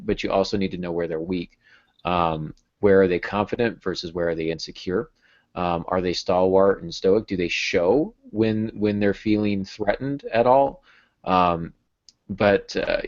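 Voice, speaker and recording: Male podcast host, thirties, deep pitch, conversational, lo-fi headset call sound. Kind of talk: casual podcast discussion on writing. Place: small home room, video call. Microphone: earbud headset. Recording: dull, lo-fi video-call audio.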